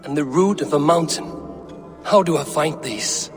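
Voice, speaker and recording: An actor playing a stern, impatient Norse god ruler in a video game, grave and measured with an air of authority. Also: male voice, fairly high pitch, natural voice. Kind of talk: video game cutscene acting. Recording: reverberant hall with music behind.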